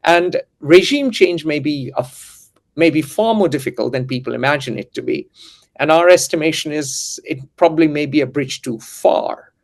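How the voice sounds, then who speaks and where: A man's voice: on the high side, normal speed. A man hosting a geopolitical discussion, lecturing and full of historical asides. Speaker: host and editor.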